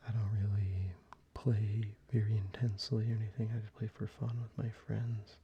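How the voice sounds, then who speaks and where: An ASMR creator whispering softly and closely, with frequent ums and restarts while showing their collection. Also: medium pitch, little pitch movement, moderate pace, highs cut off, a little hiss or hum.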